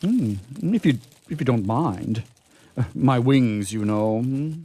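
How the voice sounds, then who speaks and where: An actor playing a naive, courtly angel in a comic radio play, with formal, florid, hesitant phrasing. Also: male voice, mid-range voice, lively intonation, takes it slowly, warm tone. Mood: polite, hesitant. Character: naive, courtly, curious male angel discovering earthly pleasures.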